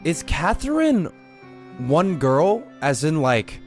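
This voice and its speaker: A male game streamer talking slowly and casually, with pitch that moves around a lot. His reactions are slangy and incredulous, and game music plays clearly behind him. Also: sing-song. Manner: slangy, swearing, incredulous questions. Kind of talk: casual live reaction commentary.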